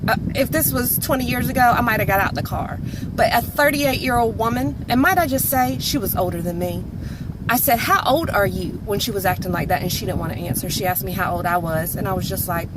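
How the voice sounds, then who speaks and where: A woman's voice: mid-range voice, brisk, heavy background noise. A woman in her late thirties from North Carolina with a Southern accent, telling a story in a chatty, emphatic way with lots of "like" fillers. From North Carolina, USA. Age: 30s. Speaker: vlog host. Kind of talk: casual storytelling monologue.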